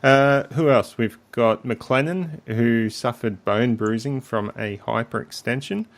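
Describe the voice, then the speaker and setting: A man with a croaky voice, hosting a casual sports podcast in a relaxed way with frequent ums. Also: mid-range voice, measured pace. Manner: relaxed, leads the segments, many ums. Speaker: podcast host.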